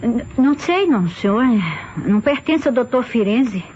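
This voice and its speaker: An actress playing a polite housemaid in an old radio mystery, answering respectfully and a little hesitantly. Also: female voice, fairly high pitch, expressive, moderate pace, a little mellow, natural voice. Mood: hesitant, helpful. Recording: muffled, hissy old radio recording.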